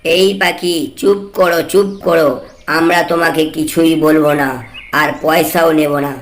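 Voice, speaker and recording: A woman's voice: sits low, fairly even intonation, measured pace. A woman voicing a blunt, commanding bandit kite bird in a cartoon story. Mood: remorseful. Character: gruff bandit kite bird, scolding, later remorseful.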